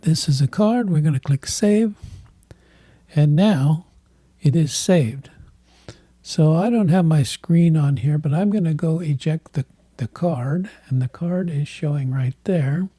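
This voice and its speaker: A man hosting a tech channel, thinking aloud in halting bits while he clicks through software, with a voice that is giving out. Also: a little high, no echo, studio quality. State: losing his voice. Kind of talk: casual screen walkthrough.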